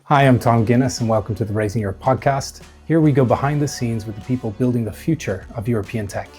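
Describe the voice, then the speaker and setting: A man's voice: mid-range voice, fast, a little mellow, faint music behind. A man hosting a podcast, presenting and asking questions in a polite, encouraging manner. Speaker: podcast host, interviewer.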